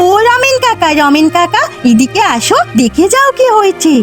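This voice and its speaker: Woman playing very high-voiced earnest village girl. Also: very animated, moderate pace. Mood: alarmed. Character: earnest, anxious young village woman, eager to help. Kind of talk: Bengali cartoon voice acting. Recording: music behind the voice.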